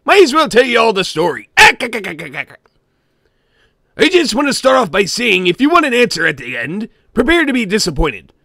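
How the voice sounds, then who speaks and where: A man voicing a gruff, greedy old cartoon sea-crab boss, gravelly and theatrical, narrating a comic scary story in character. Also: sits high, huge pitch swings, brisk, bright, forceful tone, no echo, clean studio sound, heavily acted. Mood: wry, grumbling. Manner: theatrical, with grumbling asides and barking chuckles.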